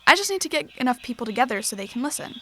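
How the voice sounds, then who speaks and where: A woman's voice: sits high, big pitch swings, rapid-fire, fairly bright tone, a little hiss or hum. A young woman playing an earnest, frustrated teenage girl activist, speaking conversationally in an audio drama diary. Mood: determined. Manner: conversational, earnest, youthful phrasing.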